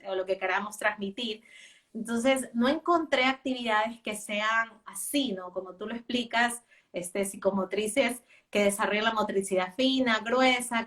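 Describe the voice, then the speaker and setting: Spanish-speaking mom guest, lots of "eh" and restarts, sounds a bit far from the mic. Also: female voice, mid-range voice, bright, forceful tone. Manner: hesitant, many fillers and restarts. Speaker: guest, homeschooling mother. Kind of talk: casual interview talk.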